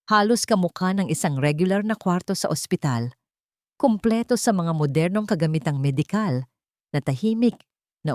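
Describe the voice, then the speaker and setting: A woman narrating a scripted dramatic story in a measured, solemn way with pauses for effect. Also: lowish voice, a little mellow, no echo, clean studio sound.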